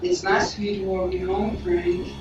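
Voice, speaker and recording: A woman's voice: mid-range voice, little pitch movement, moderate pace, lightly acted. A woman playing a polite young woman on an evening stroll, chatting lightly in an amateur audio drama. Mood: pleasant. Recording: very echoey, muffled, distant, music behind.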